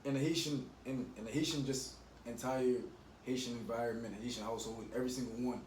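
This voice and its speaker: Casual podcast guest, man in his twenties, reflective and open, mid pitch, echoey room with faint noise. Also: fairly even intonation, fast talker, darkish tone. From Miami, United States. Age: 20s. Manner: earnest, reflective, opens up at length. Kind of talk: casual chat. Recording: echoey room, mic at a distance, faint hum.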